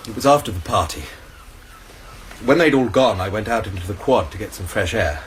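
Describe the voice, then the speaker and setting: Male actor, anxious young student, very animated pitch, radio drama, faint noise behind. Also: fairly quick, lightly acted. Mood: earnest recounting. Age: young adult. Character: anxious, agitated male student confessing to a fight.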